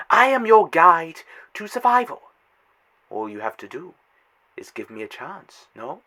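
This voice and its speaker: A man's voice: on the high side, heavily acted. A man voicing a taunting, godlike male trickster in a fan audio drama, theatrical and mocking. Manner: theatrical, taunting, swinging loud to hushed.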